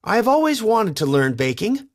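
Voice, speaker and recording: Guy doing a naive lil cartoon penguin, eager, high pitched. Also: sing-song, moderate pace.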